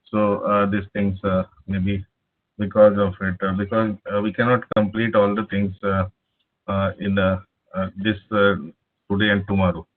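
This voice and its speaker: A man teaching a live online programming class over a speakerphone, pausing often with "uh". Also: fairly low pitch, completely flat delivery. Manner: halting, many filler "uh"s. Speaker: programming instructor. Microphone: laptop speakerphone, no headset. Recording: tinny phone-like line.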